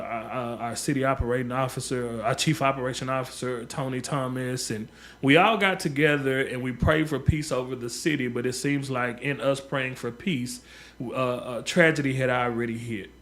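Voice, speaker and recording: A man's voice: mid-range voice, creaky, fairly bright tone. A man, a local community organizer making an earnest radio appeal, often restarting and repeating words. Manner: halting, many repeated words and fillers. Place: radio studio.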